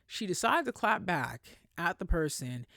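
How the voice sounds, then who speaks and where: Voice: mid-range voice, very animated, a little slow, gravelly, sharp tone, no room sound, clean studio sound. A podcast host giving casual, opinionated celebrity commentary in a conversational, emphatic way with plenty of slang.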